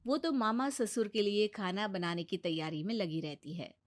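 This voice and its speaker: A woman's voice: mid-range voice, moderate pace. A woman narrating a scripted children's story as its storyteller.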